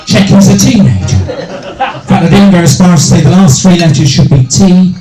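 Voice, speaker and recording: A middle-aged male stand-up comedian with a deep voice hosting a live comedy night, bantering with hecklers and swearing freely. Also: big pitch swings, moderate pace, faint music behind. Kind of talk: stand-up comedy with crowd work. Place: small live venue with a crowd. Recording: echoey room with loud crowd.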